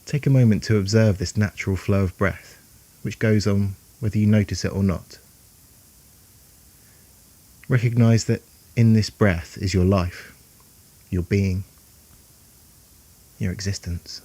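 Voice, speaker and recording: Deep, mellow male meditation guide. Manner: calm, soothing, unhurried delivery with long pauses.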